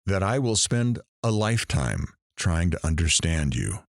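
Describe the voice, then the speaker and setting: A man playing a weary, reflective radio talk show host in an audio drama, speaking in a solemn, measured, theatrical way. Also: sits low, big pitch swings, no room sound, clean studio sound, full character voice.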